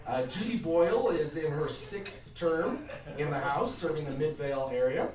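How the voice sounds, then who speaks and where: A man's voice: fairly high pitch, animated, moderate pace, darkish tone. An American man hosting an event, giving informal introductions and putting questions to a panel. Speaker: event host and panel moderator. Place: indoor event room at a lunch. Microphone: shared event microphone. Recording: echoey room, distant microphone.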